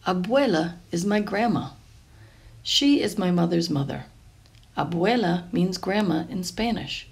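A woman reading a children's picture book aloud in an expressive, deliberate storytelling way, lingering over phrases. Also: on the low side, vocal fry. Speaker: storybook reader.

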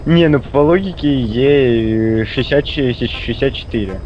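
A man's voice: mid-range voice, moderate pace, muffled recording, slight background noise. A man chatting casually during a game in a hesitant, puzzled way. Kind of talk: casual chat while gaming.

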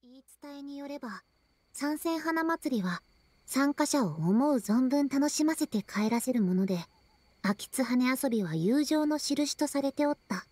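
A woman's voice: high voice, expressive, unhurried, warm tone. A woman voicing a solemn young youkai girl who speaks in a formal, archaic way, in an anime-style game. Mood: solemn, explaining. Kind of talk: Japanese anime-style game dialogue and narration.

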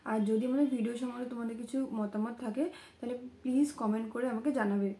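A woman in her thirties with a voice hoarse from a cold, talking casually to her vlog viewers in a small room at home. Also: fairly high pitch, fairly even intonation, quick pace, dark tone, echoey room, slight background noise. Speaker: vlogger.

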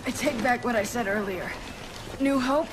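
A woman's voice: on the high side, moderate pace, very noisy, lightly acted. A woman playing a determined, wary young soldier in a video game, with a blunt, direct way of talking. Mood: guarded, serious. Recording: loud game music behind.

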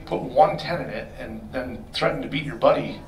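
A man's voice: medium pitch, moderate pace, slight background noise. A middle-aged man answering audience questions about engines in a conversational, explanatory way, speaking into a handheld mic in a hotel meeting room. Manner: explanatory, conversational, self-correcting. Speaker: performance shop expert. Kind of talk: technical Q&A talk. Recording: roomy sound from a distant camera.

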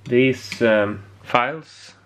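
A man giving a casual hands-on demonstration as a hobbyist, often pausing mid-phrase. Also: medium pitch, a bit bright, clear, resonant tone. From Sweden. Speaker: amateur radio hobbyist presenter. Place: indoor home electronics workbench.

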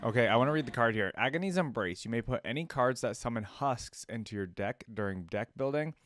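A man's voice: medium pitch, lively intonation, brisk, fairly bright tone. A man in his twenties hosting a casual reaction video with off-the-cuff commentary. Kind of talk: casual reaction commentary, sometimes reading cards aloud.

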